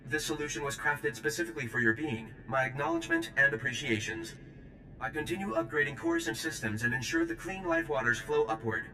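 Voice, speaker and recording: A man's voice: lowish voice, echoey room, background noise. A male actor playing an earnest, aggrieved alien maintenance worker in a video game, speaking in stilted, formal, oddly worded phrases. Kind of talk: video game character acting.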